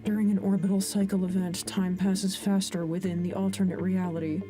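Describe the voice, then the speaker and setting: A woman's voice: mid-range voice, flat delivery, a little fast, music in the background, natural voice. An actress playing a sober female researcher in an audio drama, formally reading out a clinical case file.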